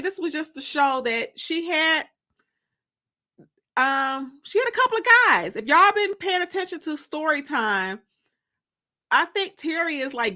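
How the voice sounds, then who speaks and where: High, bright-voiced woman chatting on livestream. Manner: gossipy, informal, repeats phrases for emphasis. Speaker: host of a live-streamed story time. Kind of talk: casual livestream chat.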